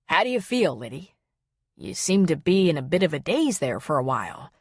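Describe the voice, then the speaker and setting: A gentle male pastor, voiced by a woman narrator in an audiobook novel. He sounds calm and concerned, asking and reassuring in a measured, soothing way. The recording is close and dry. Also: sits low, breathy edge, no echo, exaggerated voice.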